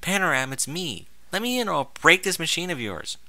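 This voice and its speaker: A man's voice: fairly high pitch, very animated, fairly quick, sharp tone, dry recording, pitched above the performer's own voice, full character voice. Man voicing a weary, grumbling mare traveler. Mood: impatient. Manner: irritable, grumbling. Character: weary, grumbling, foul-mouthed female pony traveler.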